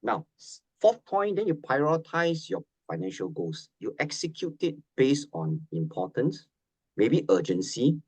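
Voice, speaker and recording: A man's voice: mid-range voice, sing-song, a little slow, husky, no echo, rough recording. A man speaks as a financial specialist in a webinar. He works through his advice methodically, one numbered point at a time. His voice sounds dry and close, with no room around it. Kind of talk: webinar presentation.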